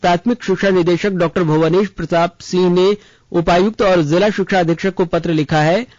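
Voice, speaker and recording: A man's voice: high voice, fairly even intonation, brisk, darkish tone, dry recording. A man reading a scripted regional news bulletin as a radio newsreader. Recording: dull, lo-fi radio broadcast.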